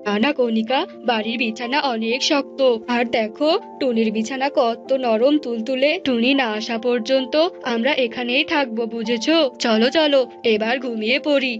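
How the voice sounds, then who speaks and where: A woman's voice: on the high side, fairly even intonation, moderate pace, highs cut off, over music, lightly acted. A woman voicing a greedy, lazy male bird husband in a cartoon fable, with a gloating, blustering manner. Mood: greedy, content. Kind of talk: animated fable character acting.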